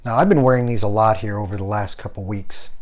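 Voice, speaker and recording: Low-voiced man reviewing a headset. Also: quick pace. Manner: relaxed, conversational showing and explaining, some "uh" fillers. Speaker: tech product reviewer. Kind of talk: hands-on product review.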